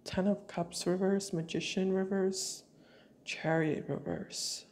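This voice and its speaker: A woman reading tarot cards for her viewers while fighting a cold, speaking slowly and deliberately at her table at home. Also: medium pitch, fairly even intonation, darkish tone. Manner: slow, deliberate, intuitive. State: has a cold. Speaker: tarot reader.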